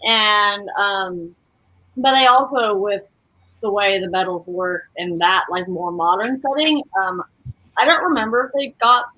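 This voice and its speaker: A woman chatting casually in a book discussion, speaking in a tentative, halting way. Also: mid-range voice, sharp tone, low-quality recording. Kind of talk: casual book club chat.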